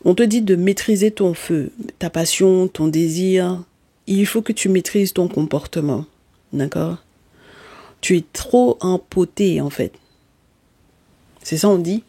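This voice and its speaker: A tarot reader who is not a native speaker talks straight to the listener and scolds them with emphasis. The voice sits in a middle pitch range. The sound is dry and close, with no room echo. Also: takes it slowly, long pauses, mellow, husky. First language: not French. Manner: emphatic and scolding, often checks in with "okay?"; speaks directly to listeners with terms of endearment. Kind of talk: spoken tarot reading addressed to viewers.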